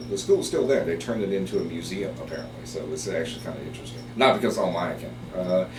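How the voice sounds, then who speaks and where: Deep-voiced man from Taiwan, hesitant. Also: distant mic. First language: Chinese. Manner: hesitant, many fillers, unhurried. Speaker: biology professor. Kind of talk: interview storytelling. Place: office. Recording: roomy, off-mic, with background hiss.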